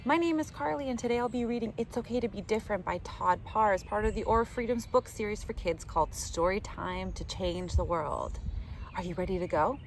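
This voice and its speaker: A middle-aged woman reading a picture book aloud to young children in an animated, direct way, recorded outdoors in the woods. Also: medium pitch, a little fast. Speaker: children's storytime reader. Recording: outdoor ambient noise.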